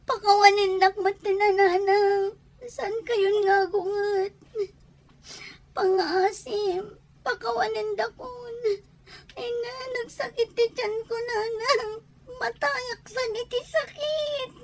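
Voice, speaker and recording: Woman acting a very high-pitched, tearful pleading daughter. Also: steady tone, heavily acted. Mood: tearful, pained. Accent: Ilocano. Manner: drawn-out, whining, pleading. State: crying, in pain. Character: pleading pregnant daughter in labor at the hospital. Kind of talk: Ilocano audio drama acting.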